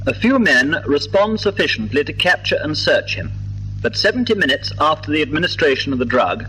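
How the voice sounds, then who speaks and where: A British man delivering formal, precise, measured scripted narration for a 1950s documentary, on an old film soundtrack. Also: fairly high pitch, big pitch swings, sharp tone, slight background noise, poor audio.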